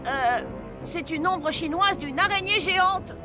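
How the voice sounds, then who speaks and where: High-voiced earnest cartoon boy. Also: a little slow. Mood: competitive. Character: earnest clever young boy, kind but quarrelsome. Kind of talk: animated cartoon dub acting. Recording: loud cartoon music behind.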